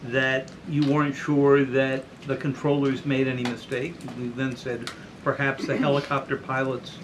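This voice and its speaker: A man working as a reporter, putting a careful, halting but persistent question at a press conference. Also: medium pitch, little pitch movement. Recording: clear background room noise.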